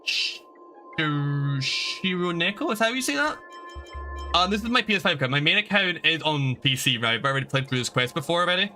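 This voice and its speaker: A man's voice: on the high side, animated, a little fast, pushed and bright, soft background music. A young man livestreaming a video game, chatting casually and explaining things in a rambling, informal way. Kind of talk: casual stream chat and game advice.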